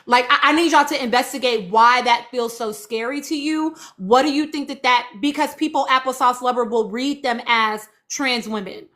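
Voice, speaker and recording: Woman live host w a high bright voice, really emphatic, repeats herself to drive it home. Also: moderate pace, few pauses. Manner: emphatic, repeats phrases for stress, urging listeners. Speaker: live-stream host reading and answering audience comments. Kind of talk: impassioned informal live commentary.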